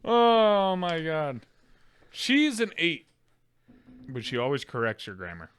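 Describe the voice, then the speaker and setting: A man's voice: a little high, wildly swooping pitch, slow pace, a bit bright, clean tone. A young man co-hosting a podcast in casual chat, loose and joking, cursing and acting out quoted lines. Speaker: podcast co-host.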